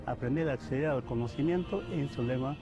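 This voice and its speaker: A man who works in education explains his work in an interview, slowing deliberately through lists. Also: medium pitch, over music. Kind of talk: interview answer.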